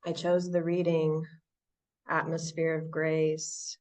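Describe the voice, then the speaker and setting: A woman from Southern California with an American accent, sharing her story reflectively at a recovery meeting, often pausing and restarting. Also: low voice, monotone, a little mellow, distant mic. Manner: reflective, halting, many restarts and fillers. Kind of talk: personal recovery share. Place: online meeting from home.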